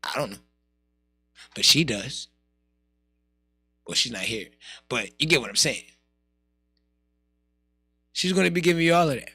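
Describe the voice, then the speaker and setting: A man's voice: medium pitch, expressive, unhurried, pauses often, bright, forceful tone, husky, no echo, soft background music. An American man hosting a casual morning radio show, emphatic and streetwise, repeating phrases for emphasis.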